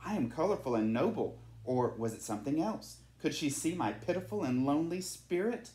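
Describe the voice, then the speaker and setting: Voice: medium pitch, lively intonation, brisk, mellow, reverberant, natural voice. A storyteller voicing a proud, lonely, romantic male bird from a storybook in a theatrical, wistful manner. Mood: proud, wondering.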